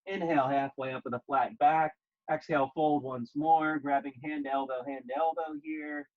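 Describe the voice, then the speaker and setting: A man leading a guided yoga class, speaking gently and calmly in short, measured phrases with pauses. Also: on the high side, dull audio. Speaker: yoga instructor.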